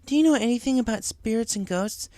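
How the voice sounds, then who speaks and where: A man's voice: very high-pitched, quick pace, dark tone, dry recording, voice pushed up. A man voicing a bookish, inquisitive young female pony in a storybook reading. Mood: earnest, questioning.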